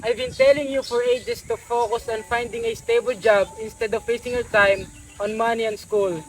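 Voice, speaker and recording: A woman's voice: on the high side, animated, moderate pace, close to an everyday voice, low-quality recording. A woman playing a stern, dismissive older brother in an amateur radio drama, scolding with a Filipino accent.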